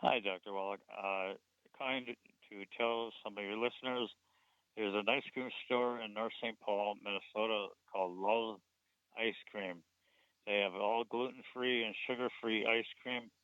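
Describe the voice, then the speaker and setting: Halting male caller on a tinny phone line. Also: lowish voice, fairly even intonation, no room sound. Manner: halting, hesitant. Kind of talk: phone-in call.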